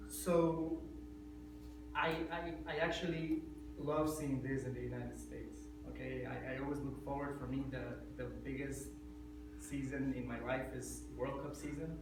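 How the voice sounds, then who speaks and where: A man's voice: mid-range voice, flat delivery, unhurried, far from the mic. A man in his thirties from Ecuador, giving a prepared speech to a speaking club in an earnest way, often checking in with "okay?". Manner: earnest, repeats words, asks "okay?". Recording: echoey room from afar, loud room noise.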